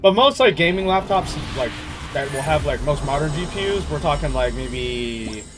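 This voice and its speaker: Slightly high-pitched young man streaming games. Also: animated, moderate pace. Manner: informal, rambling, teasing. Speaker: game livestreamer. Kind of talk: casual chat while gaming, answering viewers. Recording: game music behind the voice. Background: video game music.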